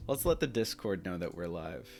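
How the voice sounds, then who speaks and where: A man's voice: deep voice, lively intonation, normal speed, darkish tone, faint music behind. Laid-back young man streaming. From United States. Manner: laid-back, chatty, laughs often, switches into Turkish. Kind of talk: casual chat with chat readers.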